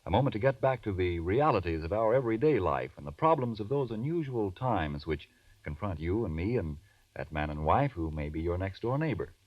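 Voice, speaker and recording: Deep-voiced 1940s radio announcer man. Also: very animated, brisk, no room sound. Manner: formal, emphatic, earnest salesmanship. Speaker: radio announcer and sponsor pitchman. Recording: muffled, distant vintage radio recording.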